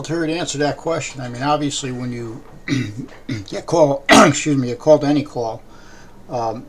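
An American man with a raspy voice hosting a podcast, talking off the cuff in a halting, rambling way. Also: medium pitch. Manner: halting, throat-clearing, rambling. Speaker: podcast host. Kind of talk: unscripted podcast talk. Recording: clearly noisy background.

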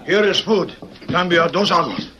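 An actor playing an excitable, obliging Mexican cantina bartender in a radio western, speaking broken English mixed with Spanish. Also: male voice, sits high, moderate pace. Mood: eager to please. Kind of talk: old-time radio western acting. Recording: muffled vintage radio recording, noisy background.

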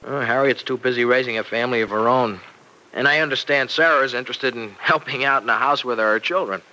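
An actor playing an earnest, excitable young husband and devoted son-in-law in an old radio serial. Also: male voice, medium pitch, quick pace, low-quality recording. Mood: chatty, earnest. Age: young adult. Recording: vintage radio recording.

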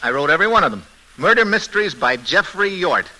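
Breezy, higher-voiced husband. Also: male voice, very animated, fast, bright, forceful tone, heavily acted, poor audio. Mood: cocky, indignant. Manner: breezy, chatty. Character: breezy, talkative young husband, amateur-sleuth mystery novelist. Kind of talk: old-time radio acting, conversational first-person narration. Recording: vintage radio recording, music behind.

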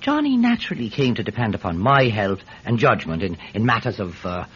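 Irish countryman witness, radio drama, very animated pitch, stammering, digressive, eager, dull lo-fi sound. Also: brisk, husky, full character voice. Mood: flustered, eager. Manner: hesitant, stammering, digressive storytelling. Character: rambling, eager Irish countryman, a peat seller testifying in court. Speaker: actor playing a witness at a court hearing. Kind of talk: classic radio drama acting. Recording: muffled, hissy vintage radio recording.

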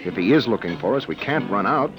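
An actor playing a reassuring but firm husband in an old-time radio drama. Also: male voice, fairly low pitch, lively intonation, fast, music in the background, natural voice, rough recording. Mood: tense, firm. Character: reassuring husband, firm and brisk under strain. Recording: vintage radio recording with loud background.

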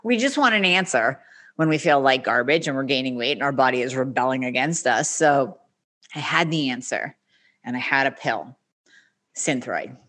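A woman's voice: a bass voice, normal speed. A woman in her forties, a podcast guest, telling her own story candidly in a casual interview and acting out what others said. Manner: candid, animated, acts out quoted speech.

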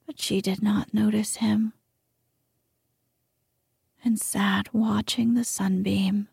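A woman narrates a fairy tale entirely in a soothing whisper, in a very unhurried way. Her voice is breathy and husky, with a gravelly undertone. The recording is dry and close to the mic. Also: medium pitch, level tone, a little mellow, dry recording. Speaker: storyteller. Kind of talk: whispered ASMR storytelling.

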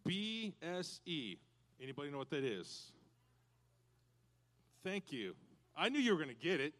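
A man preaching a lively sermon to youth and parents in a church, animated and breaking into loud cowboy shouts. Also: on the high side, unhurried. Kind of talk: lively hands-on sermon with props.

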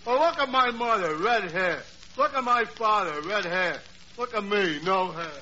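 High-voiced dopey radio simpleton. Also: male voice, animated, measured pace, pushed and bright, exaggerated voice. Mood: dim, wondering. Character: slow-witted, simple male bar regular Finnegan. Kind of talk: 1940s radio comedy acting. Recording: dull, hissy 1940s radio recording.

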